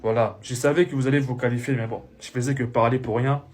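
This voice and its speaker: A man's voice: medium pitch, fairly even intonation, fast talker, darkish tone. A man from the Congo, giving a casual football reaction full of repeated fillers. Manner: repetitive, leans on fillers like "voilà" and "hein".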